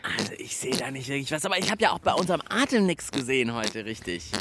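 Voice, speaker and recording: A man co-hosting a science show for children in a lively, chatty way. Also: mid-range voice, quick pace, noisy background. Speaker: children's science podcast host.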